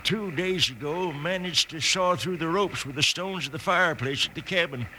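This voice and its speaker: An actor playing a battered, stubborn American mine owner, weakened and halting, in a 1950s radio western. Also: male voice, a little high, sharp tone. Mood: bitter, weary. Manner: halting, rustic speech. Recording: slightly noisy old radio recording.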